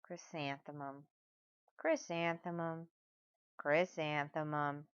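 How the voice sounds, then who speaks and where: A woman voicing a sensitive little girl in a storybook, speaking softly and wistfully with drawn-out words. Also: sits low, fairly even intonation, smooth, no echo, highs cut off, pitched below the performer's own voice. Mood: dreamy, hushed.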